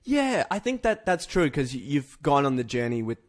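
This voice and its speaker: A man's voice: fairly high pitch, very animated, normal speed. A man hosting a podcast, chatting casually and enthusiastically about films in an informal way. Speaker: podcast host. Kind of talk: casual film chat.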